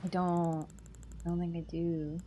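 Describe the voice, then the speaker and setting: A woman's voice: lowish voice, level tone, measured pace, mellow, a little hiss or hum. Chatty woman streaming a game. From Poland. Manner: chatty, answers chat, laughs easily. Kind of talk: casual gaming livestream chat.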